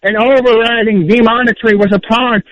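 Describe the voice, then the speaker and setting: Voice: medium pitch, fairly even intonation, a little fast. A caller with a grating voice ranting theatrically in an indignant, pompous, preachy way, speaking in the third person over a phone line. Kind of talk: theatrical fire-and-brimstone rant in the third person. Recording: dull lo-fi phone call.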